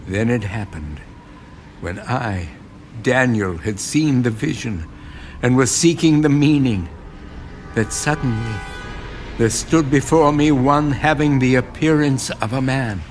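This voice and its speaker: A man narrating a dramatised scripture audiobook in a solemn, measured, earnest style. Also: medium pitch, slight background noise. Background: music.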